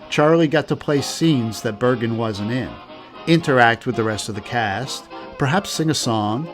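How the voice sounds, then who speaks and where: Mid-pitched male documentary narrator. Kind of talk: scripted narration.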